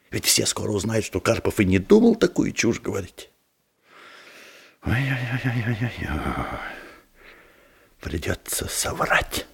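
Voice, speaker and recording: An actor in a radio play voicing a flustered, eager-to-please middle-aged head of a youth society, muttering and exclaiming in a husky voice. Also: male voice, on the low side, heavily acted. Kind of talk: theatrical Soviet radio drama acting.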